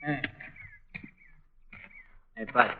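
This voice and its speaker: A man playing a gruff, touchy working-class tough guy in an old-time radio drama, slangy and surly. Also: very slow. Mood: brusque. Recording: dull vintage radio recording.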